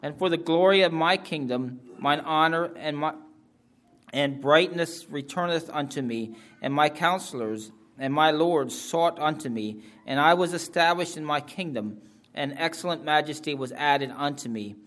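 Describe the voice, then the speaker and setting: A man reading a scripture passage aloud in a slow, deliberate way with small stumbles. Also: on the high side, a bit bright. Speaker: scripture reader.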